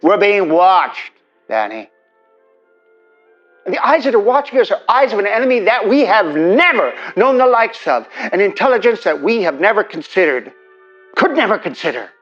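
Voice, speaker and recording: Grandiose scheming politician, menacing, emphatic oratory, highish voice, animated swings, telephone filter, 1950s radio drama. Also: male voice, moderate pace, highs cut off, faint music behind. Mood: grandiose, ominous. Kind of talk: 1950s-set audio drama acting.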